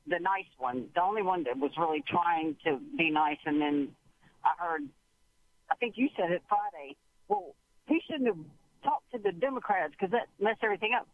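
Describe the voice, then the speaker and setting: Woman, caller, rambling and halting, lively high voice, phone-line sound. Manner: halting, rambling, interrupts herself. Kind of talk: call-in radio conversation.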